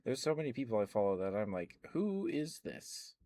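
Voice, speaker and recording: A young man, an ASMR streamer, rambling softly in casual chat with frequent chuckles. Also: mid-range voice, big pitch swings, moderate pace. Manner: soft-spoken, rambling, chuckles often.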